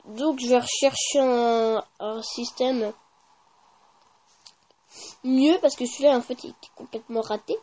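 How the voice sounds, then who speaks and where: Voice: medium pitch, fairly even intonation, a little slow, long pauses, a little mellow. A gaming YouTuber giving casual, informal gameplay commentary with slang and swearing.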